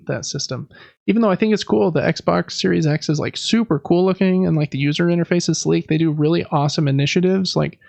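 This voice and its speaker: A man with a slightly high voice and a noticeable fry hosts a casual podcast. He talks informally and conversationally, with slangy asides. The recording is dry and close, if a little dull. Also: no echo. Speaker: podcast host. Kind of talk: casual podcast commentary on industry news.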